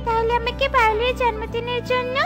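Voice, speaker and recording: Very high, girlish little bird. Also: little pitch movement, a little fast, loud music behind the voice. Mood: curious, delighted. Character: sweet young female bird Tuki, innocent and polite. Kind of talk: children's cartoon voice acting.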